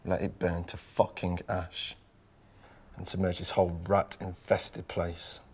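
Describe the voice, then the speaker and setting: Actor as seething, low, monotone ranter. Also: male voice, measured pace, husky, heavily acted. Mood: seething, contemptuous. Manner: relentless, controlled, venomous rant. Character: embittered, hate-filled man cursing his whole city. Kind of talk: dramatic film monologue.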